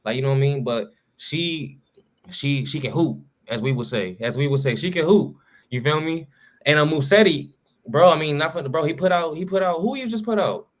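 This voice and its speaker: A man's voice: a little high, moderate pace. A man co-hosting a casual sports talk show, talking loosely in slang with lots of fillers and restarts. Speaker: podcast co-host.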